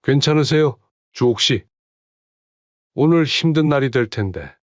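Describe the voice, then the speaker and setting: A man voicing a kind village head who speaks gently and reassuringly in an audio drama. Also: medium pitch, lively intonation, unhurried, dry recording, lo-fi sound, lightly acted. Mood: gentle.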